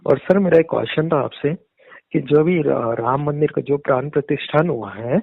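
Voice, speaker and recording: A man's voice: a little high, normal speed, creaky, slightly rough, no room sound. A man calling in for a casual phone conversation, speaking agreeably with repeated affirmations and somewhat rambling phrasing. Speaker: caller. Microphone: phone call. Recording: muffled narrow-band phone call recording.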